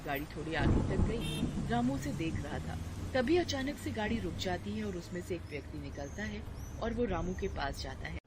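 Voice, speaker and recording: A woman's voice: lowish voice, lively intonation, normal speed. A woman narrating a cartoon story in a scripted storytelling manner. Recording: noisy background.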